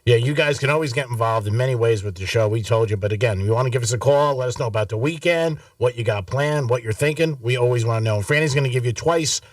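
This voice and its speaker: A man's voice: medium pitch, fast talker, few pauses, no echo. Guy cohosting radio, joking around, kinda distant from the mic, dry room. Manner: laid-back, jokey, laughs often. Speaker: radio co-host. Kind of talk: joking morning radio banter. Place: radio studio.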